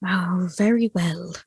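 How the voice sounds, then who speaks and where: A young actress playing a reserved, melancholy gentlewoman in a radio play, speaking in a measured, formal and guarded way. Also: lowish voice, a little hiss or hum. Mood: reluctant. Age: young adult.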